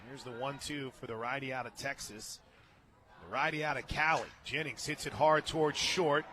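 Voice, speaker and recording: A man doing live play-by-play softball commentary on the radio, calling each play in short clipped phrases. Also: medium pitch, steady tone, moderate pace. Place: outdoor ballpark radio booth. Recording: outdoor crowd noise behind the voice.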